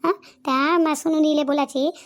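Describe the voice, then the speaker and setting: A woman's voice: sits high, steady tone, moderate pace, close to an everyday voice. A woman playing an aggrieved middle-aged village mother-in-law in a cartoon comedy, complaining repetitively in a self-pitying way, with a Vidarbha Marathi accent. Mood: defensive complaint. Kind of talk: cartoon family comedy dialogue.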